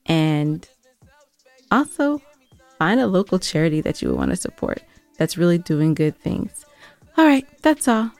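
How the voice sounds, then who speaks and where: A woman's voice: on the low side, huge pitch swings, measured pace, pauses often, darkish tone, dry recording, soft background music. A woman co-hosting a podcast, chatting casually and giving announcements to listeners. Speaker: podcast co-host.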